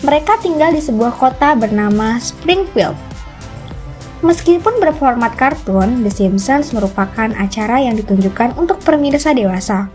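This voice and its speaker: High-voiced woman narrating over music. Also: lively intonation, moderate pace, darkish tone. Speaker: narrator of a video channel. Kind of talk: scripted explainer narration. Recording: music bed under the voice. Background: background music.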